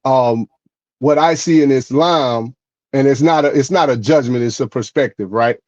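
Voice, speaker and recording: Measured man with a slightly dull sound. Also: mid-range voice, darkish tone, dry recording. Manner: measured explaining, often checking in with "right?". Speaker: podcast co-host. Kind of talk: casual podcast conversation.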